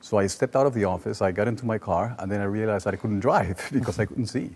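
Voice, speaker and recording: Low-voiced Peruvian man telling his story. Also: fast, darkish tone, husky. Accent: Peruvian Spanish. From Peru. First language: Spanish. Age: 40s. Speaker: well-being coach and guest. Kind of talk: conversational personal storytelling in an interview.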